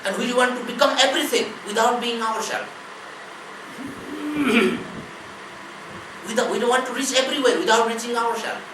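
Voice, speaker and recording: A woman teaching spirituality in a slow, measured way, stacking short phrases one after another. She sits far from the mic in a roomy space, with steady ambient noise behind her. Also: fairly high pitch. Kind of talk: spiritual discourse.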